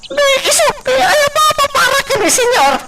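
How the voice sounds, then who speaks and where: A performer voicing a shrill, excitable, cackling henchman in an Ilocano radio drama, recorded off-mic with noise. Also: normal speed. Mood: mocking, giggly. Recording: noisy, off-mic home recording.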